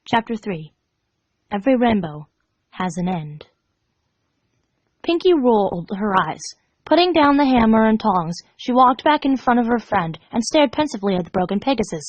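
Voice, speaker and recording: A woman's voice: on the high side, sing-song, moderate pace. A woman reading a story aloud as an amateur narrator, dramatic, now and then stumbling over the words. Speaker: fan fiction reader. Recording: dull, echoey home recording.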